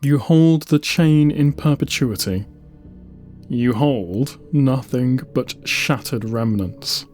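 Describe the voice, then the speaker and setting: A man giving a solemn, deliberate audiobook narration of science-fiction lore, with dramatic pauses between short phrases. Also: medium pitch, a little mellow. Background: music.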